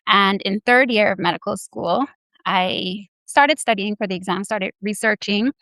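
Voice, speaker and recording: A woman's voice: mid-range voice, gravelly, sharp tone, no room sound, rough recording. A young woman from the Bahamas and Barbados, answering interview questions earnestly with many hesitant fillers. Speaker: guest, anesthesiology resident.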